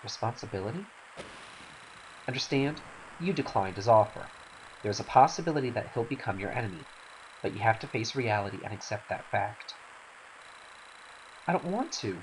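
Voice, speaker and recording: A man's voice: fairly low pitch, fairly quick, long pauses, low-quality recording. A man reading scripted game dialogue in a dramatic style. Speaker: amateur voice actor reading game cutscenes. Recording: faint hiss.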